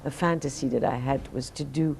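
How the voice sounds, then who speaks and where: A Belgian woman whose first language is French, giving relaxed, halting answers in an interview while sleepy and fighting off jet lag. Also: very deep voice, gravelly, slight background noise. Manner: relaxed, halting, many restarts and fillers. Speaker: singer, interview guest.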